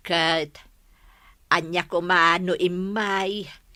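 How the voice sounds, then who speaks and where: A woman as a sharp-voiced, chatty Ilocano village neighbour. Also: lowish voice, steady tone, extremely slow, no room sound, clean studio sound, exaggerated voice. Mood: gossipy, scornful. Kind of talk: Ilocano radio comedy drama acting.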